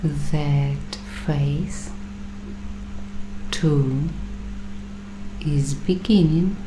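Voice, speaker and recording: A woman plays a paranoid bunker-dwelling waitress in a secretive ASMR roleplay. Her low female voice is soft, often near a whisper, and extremely slow and deliberate. The recording has a roomy echo around her conspiratorial murmur. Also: background noise. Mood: ominous, urgent. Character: paranoid doomsday-prepper waitress interviewing a bunker roommate.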